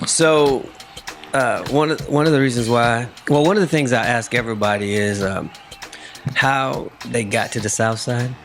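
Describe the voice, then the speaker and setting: A man hosts a relaxed podcast interview in an everyday mid-range voice. He is enthusiastic and emphatic when introducing things, with some music behind him. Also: mid-range voice, normal speed. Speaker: podcast host.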